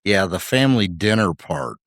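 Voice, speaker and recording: A man's voice: low-pitched, sing-song, moderate pace, fairly bright tone, no echo, clean studio sound. A man co-hosting a podcast, delivering scripted commentary in short, punchy rhetorical phrases with wry humour. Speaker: podcast co-host.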